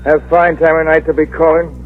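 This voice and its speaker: An actor playing an irritable, sarcastic police commissioner who blusters on the phone in an old radio drama. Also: male voice, fairly high pitch, flat delivery, brisk, natural voice. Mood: irritated. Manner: gruff, sarcastic, exasperated. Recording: muffled scratchy old radio broadcast with faint hiss.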